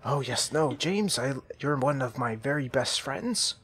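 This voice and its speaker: A man playing a jittery, swooping, timid gentleman. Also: medium pitch, animated, measured pace, pitched below the performer's own voice. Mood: anxious. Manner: nervous, stammering, fussy, prim. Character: jittery, polite, timid man Hansel, falsely cheery. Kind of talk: improvised video game roleplay.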